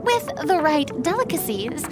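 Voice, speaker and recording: An actress playing a proud yet vulnerable young female celebrity in a video game, with dramatic, refined, expressive delivery. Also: high-pitched, moderate pace, over loud music. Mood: proud, playful. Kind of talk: scripted game character voice lines.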